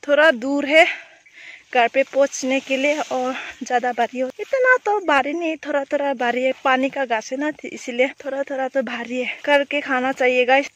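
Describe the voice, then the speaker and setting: A woman's voice: sits high, a bit bright. A woman in her thirties chatting casually and informally to viewers, often repeating herself, recorded on a phone outdoors. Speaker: village life vlogger. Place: outdoors in open rice fields and village. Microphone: phone, at arm's length or set up nearby. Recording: outdoor phone audio with light noise.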